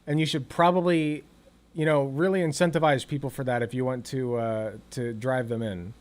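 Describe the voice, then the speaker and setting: A man's voice: medium pitch, fairly even intonation. Sarcastic, swearing male commentator. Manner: sarcastic, swears freely, quick asides. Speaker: political commentator reacting to a video. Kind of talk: casual reaction commentary.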